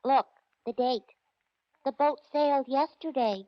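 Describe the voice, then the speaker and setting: Chirpy, guileless young secretary, very high voice, dry, dull old radio sound. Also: female voice, measured pace, dry recording. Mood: chirpy, curious. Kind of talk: 1940s radio comedy-relief acting. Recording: dull vintage radio recording.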